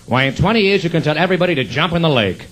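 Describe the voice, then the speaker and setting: An actor playing a wisecracking huckster comedian in 1940s radio comedy style, with deadpan jokes and wry asides to the audience. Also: male voice, a little high, rapid-fire. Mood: brash huckster pitch. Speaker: stage actor in a comedy tribute show.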